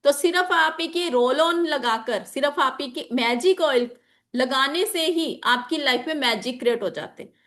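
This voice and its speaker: High-pitched woman teaching, sore throat. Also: moderate pace, a bit bright. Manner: explanatory, repeats key phrases, mixes in English terms. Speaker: teacher of an online class on chakras and magical oils. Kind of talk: informal spoken lesson with examples.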